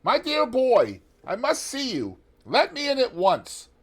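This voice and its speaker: A worldly, witty gentleman with a raised, lilting upper-class tone, put on by a male audiobook narrator. He sits well above the narrator's usual low register and speaks up loud and bright. An airy, knowing figure from a classic novel reading. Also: huge pitch swings, unhurried, breathy edge, exaggerated voice. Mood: urgent, airy.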